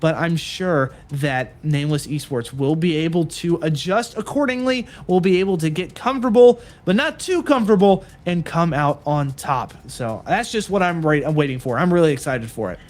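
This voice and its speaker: A man's voice: on the high side, lively intonation, moderate pace, hardly any pauses. A man casting a live esports stream, talking in earnest, repetitive hype with some fillers. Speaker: esports caster.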